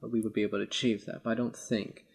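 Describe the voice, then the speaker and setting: A man's voice: fairly low pitch, level tone, fast, vocal fry, slightly rough. A man debating politics informally as a podcast co-host, speaking in a hesitant, wordy way with formal vocabulary.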